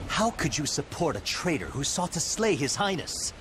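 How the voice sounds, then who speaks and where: An actor playing a cold, disloyal young noble nephew, speaking in an accusing tone. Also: male voice, fairly high pitch, sing-song, normal speed, noisy background.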